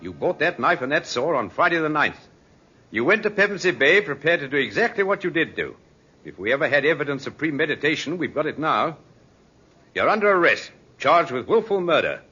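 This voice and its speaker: Animated police inspector, old radio. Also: male voice, a little high, fairly quick, husky, exaggerated voice. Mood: stern, accusing. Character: brisk British police inspector, shrewd and commanding. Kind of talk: 1950s radio drama acting. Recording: muffled, noisy 1950s radio recording.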